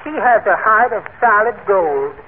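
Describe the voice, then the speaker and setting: An actor playing a meek, henpecked little poet in a timid, prim, genteel manner, in 1940s radio comedy style. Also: male voice, high voice, expressive, a little slow. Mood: sweetly aggrieved. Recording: noisy, tinny old radio broadcast.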